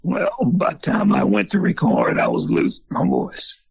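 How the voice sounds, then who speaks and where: An older man with a husky, gravelly voice, telling folksy stories in an interview. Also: high voice, normal speed, no room sound, muffled recording. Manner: folksy, animated storyteller. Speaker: museum founder and former country singer. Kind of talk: storytelling in an interview.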